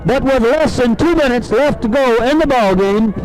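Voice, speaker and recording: A man's voice: very high voice, normal speed, a little mellow, rough recording. A man with a Southern US accent giving folksy, emphatic football color commentary on a 1970s radio broadcast.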